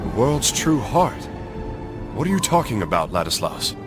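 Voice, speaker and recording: A resolute crusader knight, played by a man in a game cutscene. His mid-pitched voice moves widely as he commands and presses his point earnestly, over a musical score. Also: sing-song, normal speed, lightly acted. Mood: questioning, insistent. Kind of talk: dramatic video game cutscene acting. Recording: dramatic music behind the voice.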